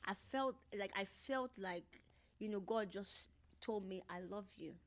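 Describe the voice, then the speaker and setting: A woman with a mid-pitched voice hosts a casual, heartfelt conversation. She speaks reflectively and personally, often repeating a phrase to press the point home. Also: a little slow. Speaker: podcast host interviewing a guest.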